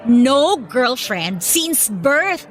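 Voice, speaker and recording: A young Filipino daughter, voiced by an actress in a radio drama, pushy and teasing. Her high voice is very animated, with faint music behind it. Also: extremely slow, exaggerated voice. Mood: eager, teasing.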